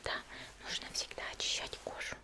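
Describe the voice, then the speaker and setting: A woman playing a cheerful, caring young female warrior in an ASMR spa roleplay, whispering gently and chattily without hurry. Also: natural voice. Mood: gentle, explanatory. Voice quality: breathy unvoiced whisper. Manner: gentle, unhurried, chatty, soft hesitations.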